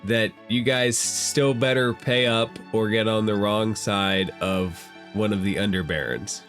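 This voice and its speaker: A man running a tabletop role-playing game, recapping events to his players in a measured, teasing way. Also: lowish voice, fairly even intonation, fairly bright tone, soft background music. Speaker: game master.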